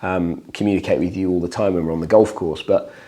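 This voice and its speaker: A man hosting a casual chat, his voice croaky, often repeating himself and restarting. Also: low voice, fairly even intonation, fast, mellow. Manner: rambling, repeats words and restarts. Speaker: host.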